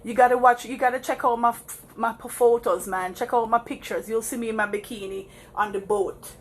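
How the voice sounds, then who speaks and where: A woman's voice: a little high, steady tone, fairly quick, slight background noise. A Jamaican woman chatting casually to her live viewers while walking around the block, laughing often and calling them guys. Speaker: vlogger.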